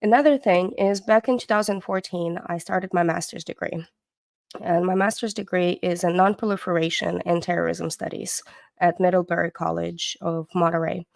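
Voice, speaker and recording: A woman with a low, gravelly voice, Russian her first language, talks to her stream viewers in a rambling, halting way. She restarts her sentences often and fills the gaps with hesitations. The sound is dry and close, with no room around it. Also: steady tone, darkish tone, no echo. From born in the USSR, Ukrainian background. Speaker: livestream host. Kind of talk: casual livestream commentary.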